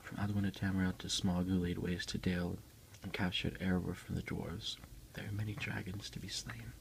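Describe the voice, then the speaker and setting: A man speaking as an ASMR host, soft-spoken and unhurried, in a casual style. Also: low voice, level tone, creaky, a little hiss or hum. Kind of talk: whispered ASMR unboxing, reading aloud and chatting.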